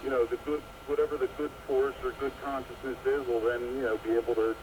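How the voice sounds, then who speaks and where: A man's voice: mid-range voice, level tone, fast, a loud crowd, poor audio. A man talking on a radio show, rambling and leaning on "you know" a lot. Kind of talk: casual talk-radio discussion. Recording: shortwave radio broadcast with heavy static.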